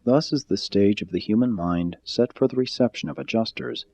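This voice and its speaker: A man giving a formal scripted reading of a spiritual text in a measured, deliberate, solemn way. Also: lowish voice, creaky, dark tone. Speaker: audiobook-style reader. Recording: slightly dull, muffled sound.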